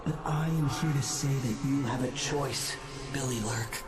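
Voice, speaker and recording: Guy voicing a mysterious detached god in a game, solemn and measured, average pitch, music really loud behind. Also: natural voice. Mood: grave. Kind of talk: dramatic game cutscene monologue.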